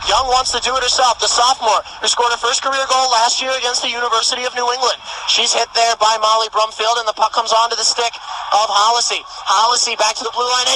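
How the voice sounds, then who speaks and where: A man's voice: extremely high pitch, bright, forceful tone, slight background noise, poor audio. A man doing emphatic play-by-play ice hockey commentary for a radio broadcast from the rink. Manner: rapid-fire, emphatic calling of action.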